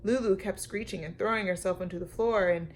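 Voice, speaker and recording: A woman reading a story aloud to children like a teacher, expressive and emphatic, with dramatic pauses and questions to her young listeners. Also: mid-range voice, slight background noise.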